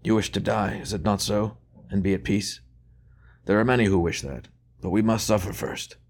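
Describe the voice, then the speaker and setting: A weary, gentle old churchman who speaks softly and kindly, worn thin by fever and age, as a male narrator puts him on. His voice sits low but is lifted a little above the reader's own. It is the quiet, careful speech of a frail elder giving grave news. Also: normal speed, close to an everyday voice. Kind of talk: audiobook character voice.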